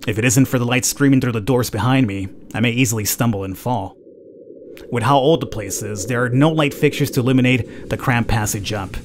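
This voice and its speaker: Guy reading a horror game out loud, dramatic, mid pitched, some game noise behind him. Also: fairly quick. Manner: expressive, acts out the heroine's thoughts. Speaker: gaming video host reading the game's text aloud. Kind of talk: dramatic first-person narration of a game. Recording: clear background noise, game ambience.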